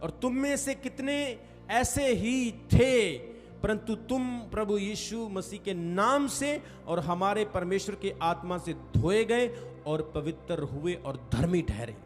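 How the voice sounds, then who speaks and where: High-voiced man preaching in a reverberant hall. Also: lively intonation, slow pace. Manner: emphatic preaching, stretches key phrases, prompts the congregation to respond. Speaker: pastor. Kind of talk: sermon. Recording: reverberant hall with faint music. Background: faint music.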